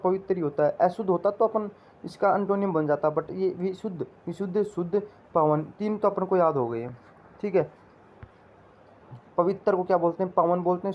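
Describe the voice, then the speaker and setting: A man teaching an exam-preparation class in an instructional style, explaining in a repetitive, drilling way. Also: high voice. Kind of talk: instructional lecture with memory tricks. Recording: dull lo-fi audio.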